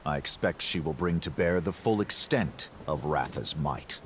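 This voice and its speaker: A commanding king in a game cutscene, really low voice, formal and stern. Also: expressive, moderate pace, slight background noise. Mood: firm, assured. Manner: formal, authoritative. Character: stern, commanding king addressed as His Majesty. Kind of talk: video game cutscene acting.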